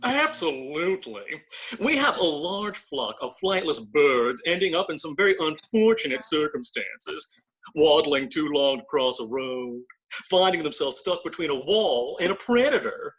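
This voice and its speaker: A man's voice: on the high side, very animated, unhurried, a bit bright, husky, full character voice, poor audio. A man playing a stuffy bird politician, formal and officious, in a comedy table read of an audio drama. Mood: pompous, officious. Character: haughty male bird council elder, stuffy politician.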